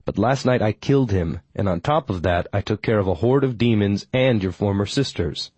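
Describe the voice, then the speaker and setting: A wary male druid acted by an audiobook narrator, a man speaks in a mellow, fairly low voice. He is wry and polite yet blunt, negotiating firmly. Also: moderate pace, slightly rough, dry recording. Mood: firm warning.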